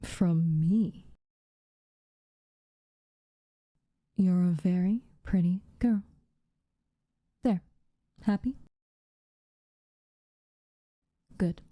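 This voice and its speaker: A woman voicing a guarded, haughty yet secretly tender witch in an ASMR roleplay, softly and breathily, with slow, formal phrasing. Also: fairly low pitch, dry recording, dull audio. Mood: flustered, grudgingly tender. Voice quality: soft, breathy, often near-whispered. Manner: slow, formal, measured wording with reluctant warmth.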